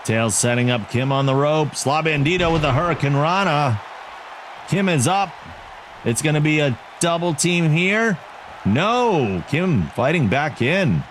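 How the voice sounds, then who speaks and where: A man giving excitable wrestling play-by-play commentary for a video game league, joking about himself between calls. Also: a little high, unhurried. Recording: game crowd and noise behind the voice. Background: video game arena crowd.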